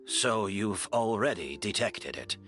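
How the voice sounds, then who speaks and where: An actor playing a resigned swindler making a theatrical, deliberate confession in a video game. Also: male voice, fairly low pitch, lively intonation, fairly bright tone, faint music behind. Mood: resigned, revealing.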